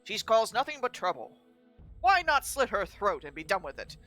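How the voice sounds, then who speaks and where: A woman's voice: mid-range voice, sing-song, moderate pace, sharp tone, faint music behind. A woman voicing an irritable, harsh-tempered male guard in a visual novel, scolding as they go. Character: exasperated, gruff male guards escorting a convict, harsh-tempered.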